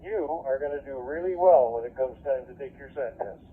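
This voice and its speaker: A man's voice: mid-range voice, a little fast. An older, retired man with an American accent, chatting casually over two-way amateur radio in a rambling way, restarting and repeating his phrases. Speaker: retired police dispatcher, amateur radio operator. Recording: narrow, phone-like radio link with static noise.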